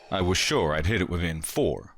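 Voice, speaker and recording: Male actor as self-assured imperial inspector for audio comic, deep register, precise, meticulous delivery. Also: a bit bright, slight background noise, exaggerated voice. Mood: self-satisfied. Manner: precise, formal, clipped. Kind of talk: dramatic audio comic dub.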